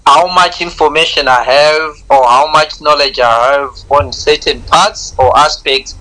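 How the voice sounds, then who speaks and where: A man's voice: a little high, measured pace, bright, forceful tone, poor audio. A Zimbabwean man answering interview questions in a reflective, earnest way, often repeating words as he starts a thought. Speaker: guest, content creator.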